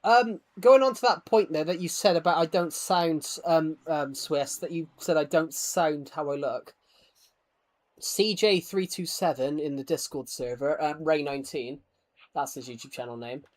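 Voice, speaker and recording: High-voiced man chatting on a livestream. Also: moderate pace. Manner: rambling, self-deprecating, stammering repeats. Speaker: live streamer. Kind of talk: casual livestream chat while gaming, reading out viewer comments.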